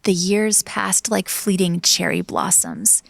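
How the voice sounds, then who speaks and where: A woman narrating a scripted dramatic story in a hushed, solemn, deliberate way, with a creaky edge to her voice. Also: on the low side, steady tone, dry recording. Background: background music.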